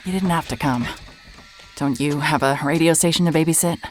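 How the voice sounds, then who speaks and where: A woman's voice: sits low, fairly quick, soft background music, close to an everyday voice. A woman playing a hard-hearted, wry private investigator in a mystery audio drama, with a dry, guarded delivery. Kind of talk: cinematic audio drama acting, noir first-person narration.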